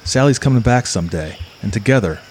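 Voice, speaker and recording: An actor plays a macho, hard-boiled male mercenary telling his story in clipped pulp slang. His voice sits fairly low, with the swagger of a radio-play tough guy. A light bed of hiss and insects runs behind it. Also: lively intonation, fast, darkish tone, lightly acted. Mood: earnest. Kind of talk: pulp radio-play first-person narration.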